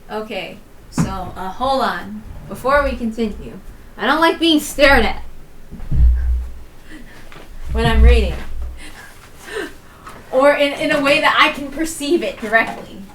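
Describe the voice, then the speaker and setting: Woman streamer-narrator, bright high voice, casual reading, distant mic. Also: animated, unhurried. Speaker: reader and streamer. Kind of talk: reading a visual novel aloud on a stream. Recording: roomy, distant mic with faint noise.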